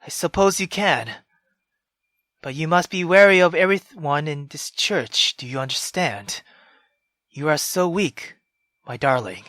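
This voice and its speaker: Man putting on a cold mother voice, low, quiet, reproachful, slow-paced, dry sound. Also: lively intonation, no room sound. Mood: cold scolding. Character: stern, scolding mother figure, woman.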